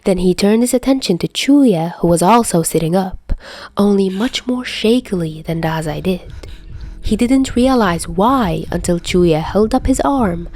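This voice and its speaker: A woman narrates a story in a scripted audiobook style, at a middling pitch. Soft music plays behind her voice as she reads the prose. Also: lively intonation, measured pace, no echo. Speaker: fan fiction narrator. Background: background music.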